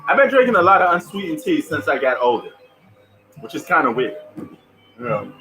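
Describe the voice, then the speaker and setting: A man's voice: a little high, sing-song, a little fast, sharp tone, faint music behind, low-quality recording. Laid-back New man, slangy chat. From New York. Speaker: live stream host. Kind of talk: live stream reaction commentary. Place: at home.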